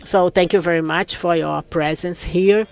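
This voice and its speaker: A man giving a simultaneous interpretation of a conference talk, in a steady, measured way. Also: sits high, creaky, clear, resonant tone.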